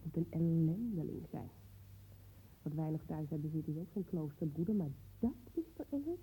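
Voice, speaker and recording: A woman's voice: low-pitched, animated, moderate pace, warm tone, slight background noise. A woman playing a bossy, scornful mother in a radio play, nagging sarcastically in colloquial speech. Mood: indignant nagging. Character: bossy, nagging, scornful mother waiting at her daughter's school. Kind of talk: naturalistic radio play dialogue. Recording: dull, muffled old radio play recording.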